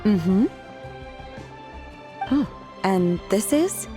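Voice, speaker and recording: A calm, blunt young waitress. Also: female voice, medium pitch, extremely slow. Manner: plain, matter-of-fact, polite. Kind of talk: video game voice acting. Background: game music.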